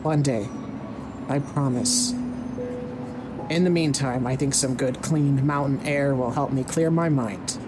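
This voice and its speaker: A man's voice: medium pitch, a little slow, pauses often, natural voice. A man playing a weary, guarded fugitive in an audio drama, talking in a casual, subdued way. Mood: tender. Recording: noisy street ambience with vehicles.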